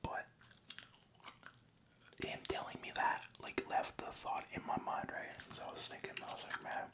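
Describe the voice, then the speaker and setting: Softly whispering young man. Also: moderate pace. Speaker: vlogger. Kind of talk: whispered ASMR storytelling.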